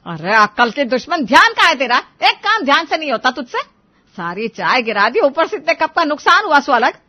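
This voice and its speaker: A woman's voice: high voice, very animated, fairly quick, bright, forceful tone, pitched above the performer's own voice, heavily acted. A woman voicing a bossy, doting middle-aged grandmother with a commanding, exclamatory manner in a family story. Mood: scolding, flustered. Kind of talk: Hindi family moral story dramatization.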